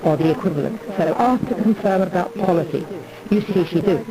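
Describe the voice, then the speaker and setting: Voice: medium pitch, brisk, loud music behind the voice, lightly acted, poor audio. A performer playing an imperious, aggrieved Tudor queen in a theatrical parody monologue, declaiming in a pompous, rambling way.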